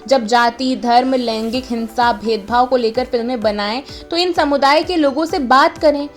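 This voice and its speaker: A woman's voice: on the high side, normal speed, faint music behind. A woman presenting a scripted video explainer in an earnest, emphatic way.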